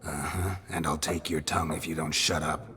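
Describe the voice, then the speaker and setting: An actor playing a stoic, world-weary monster hunter in a video game, speaking tersely and deadpan in a gravelly voice. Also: male voice, rumbling low, a little fast, slight background noise. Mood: menacing. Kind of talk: video game cinematic acting. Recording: loud music behind the voice. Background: loud game music.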